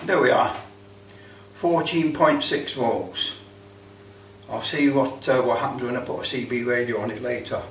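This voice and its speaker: A British man giving a casual hands-on demonstration, halting as he thinks aloud. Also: mid-range voice, steady tone. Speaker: presenter demonstrating electrical testing. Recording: roomy, distant mic with background noise.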